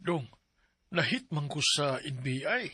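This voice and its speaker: Sharp, forceful-voiced Filipino father. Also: on the high side, lively intonation, a little slow, a little hiss or hum. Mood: uneasy. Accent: Cebuano Filipino. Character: caring, prayerful middle-aged Filipino father. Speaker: radio drama actor. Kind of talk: radio drama acting in Cebuano.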